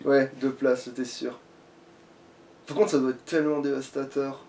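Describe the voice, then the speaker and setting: A man's voice: medium pitch, little pitch movement, moderate pace, a little mellow, far from the mic, slight background noise. A man giving casual, sweary, slangy commentary while playing a video game. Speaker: let's player playing on camera.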